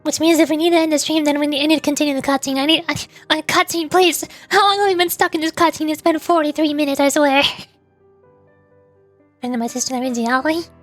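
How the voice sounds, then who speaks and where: A young woman streamer with a high, light voice chats casually with her viewers. She rambles and hesitates, filling pauses with ums and uhs and restarting her sentences as she goes. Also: fairly even intonation, dry recording, soft background music. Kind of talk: casual live-stream chat.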